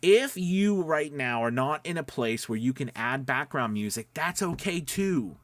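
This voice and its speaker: A man's voice: medium pitch, expressive, a little slow, a bit bright, no echo. A man giving an informal, encouraging lesson as a voice-over coach, conversational and rambling, often checking in with his listeners. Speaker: voice-over coach and demo maker. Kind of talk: informal instructional talk to viewers.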